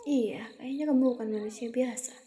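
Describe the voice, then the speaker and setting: A woman's voice: fairly high pitch, close to an everyday voice. A woman with an Indonesian accent voicing an ancient, teasing and domineering female vampire in an anime-style ASMR roleplay, with taunting delivery. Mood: mocking, playful. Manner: slow, taunting, mixing Indonesian with bits of English and Japanese. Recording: steady insect and nature ambience noise.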